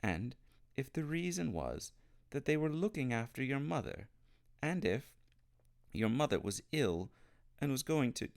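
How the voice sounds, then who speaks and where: A miserable, defiant young storybook boy, as voiced by a man, plaintive and insistent. The delivery is emphatic and swings widely up and down, with some gravel in it. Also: mid-range voice, big pitch swings, a little slow, long pauses, pitched above the performer's own voice. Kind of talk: storybook character reading.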